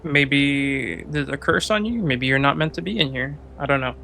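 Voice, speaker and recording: A man's voice: mid-range voice, normal speed, creaky. A man giving casual, sweary commentary as he plays a game, grumbling and sarcastic. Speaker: game streamer.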